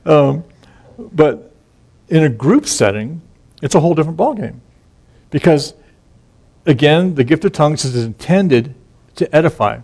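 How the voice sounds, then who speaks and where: A man's voice: on the high side, animated, measured pace, long pauses, a little mellow. A man teaching from scripture in an informal, conversational way, reading verses aloud and explaining them with frequent restarts and asides. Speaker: Bible teacher.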